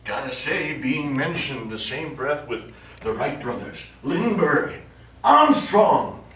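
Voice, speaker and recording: An actor playing a supportive, needling astronaut in a stage play, talking in a colloquial, prodding way. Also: male voice, mid-range voice, sing-song, takes it slowly, fairly bright tone. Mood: prodding, teasing. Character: supportive, needling male astronaut crewmate who stays behind. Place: small studio theatre, recorded from afar. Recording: very echoey, noisy, distant stage recording.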